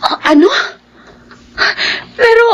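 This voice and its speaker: A woman's voice: takes it slowly, lo-fi sound, lightly acted. An actress playing a wounded, jilted young woman in a radio drama, speaking tearfully and accusingly. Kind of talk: Filipino radio drama acting.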